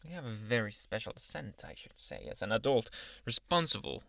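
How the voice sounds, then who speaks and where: A man voicing a dominant but awkwardly polite alpha male werewolf in an intimate, halting ASMR roleplay, with sighs and murmured asides. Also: lowish voice, huge pitch swings, vocal fry, bright, forceful tone, dry recording, clean studio sound, exaggerated voice. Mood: explaining, confiding. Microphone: close, dry microphone.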